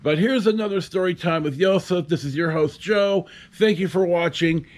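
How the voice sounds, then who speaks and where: A man in his fifties hosting a casual storytime to camera, with a halting, wry delivery. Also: sits high. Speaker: host. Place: covered outdoor patio at a house.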